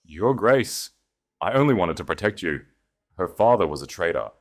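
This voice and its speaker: A man's voice: low voice, sing-song, normal speed. A man voicing a scheming, haughty baroness who pleads hysterically in an audio story. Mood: pleading.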